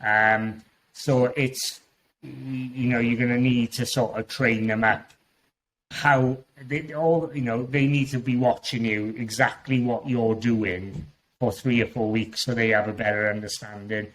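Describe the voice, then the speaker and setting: A man from Wales speaks with a strong Welsh accent at an ordinary middle pitch. He is a podcast guest, talking in a loose, chatty way that rambles and often restarts its sentences. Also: fairly even intonation, measured pace, a bit bright, poor audio. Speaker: guest Amazon seller. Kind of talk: casual podcast interview.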